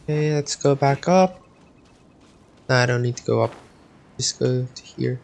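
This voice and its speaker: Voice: medium pitch, measured pace, creaky, a little mellow, faint music behind. A video game streamer giving casual gameplay commentary, thinking aloud and muttering with the odd swear word.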